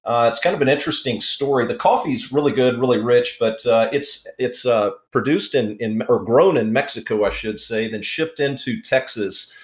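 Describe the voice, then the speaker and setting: A man hosting an interview, chatting in a relaxed way with occasional fillers before he asks his questions. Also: mid-range voice, normal speed, few pauses, roomy sound. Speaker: interview host. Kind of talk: casual interview talk.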